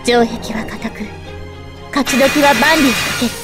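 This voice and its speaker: A woman voicing a young, regal and gentle guardian spirit of a holy sword in an anime game, speaking politely and with measured poise. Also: on the high side, lightly acted. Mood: solemn, heroic. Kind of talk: Japanese anime game voice acting. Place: studio. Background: faint background music.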